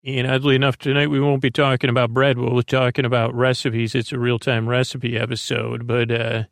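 A man with a creaky voice hosting a sleep podcast, meandering through a soothing, hesitant bedtime monologue full of tangents. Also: mid-range voice, little pitch movement, a little fast, no echo, studio quality. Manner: soothing, lulling delivery. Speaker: podcast host.

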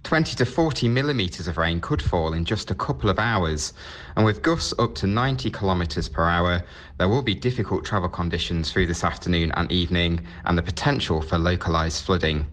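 Deep-voiced male weather forecaster. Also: brisk, a bit bright.

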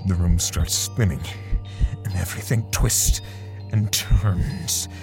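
A man playing a bitter, defensive portrait artist in an audio drama, speaking haltingly with sighs and pauses. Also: sits low, steady tone, music in the background. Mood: unsettled. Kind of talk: audio drama dialogue and first-person narration.

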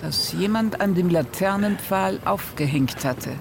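A man reading dramatic, suspenseful horror narration for an audio drama in a measured way. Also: high-pitched, gravelly, background noise.

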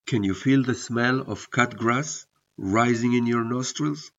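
A man whose first language is not English, hosting a podcast and narrating stories in a reflective, measured way, with hesitant fillers when he speaks off-script. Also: medium pitch. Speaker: podcast host. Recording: muffled lo-fi recording.

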